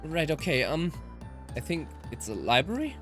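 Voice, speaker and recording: A woman's voice: low-pitched, sing-song, moderate pace. A woman doing game commentary, switching between dramatic reading and muttered asides. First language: German. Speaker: let's player. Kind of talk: game commentary with dramatic read-aloud of visual novel lines. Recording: music behind the voice. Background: game music.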